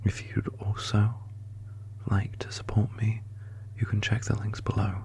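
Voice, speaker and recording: A man speaking in a soft, breathy whisper as a channel creator, giving an ASMR-style outro. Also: on the low side, monotone, unhurried.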